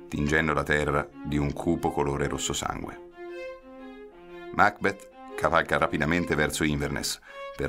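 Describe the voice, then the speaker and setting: Extremely deep-voiced male narrator. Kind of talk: dramatic scripted narration for radio drama.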